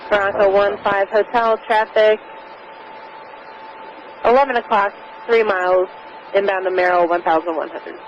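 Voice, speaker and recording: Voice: medium pitch, fairly even intonation, normal speed, pauses often. An air traffic controller issues traffic advisories in a clipped, procedural way. The voice is muffled by the radio, with faint noise underneath. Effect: aviation radio.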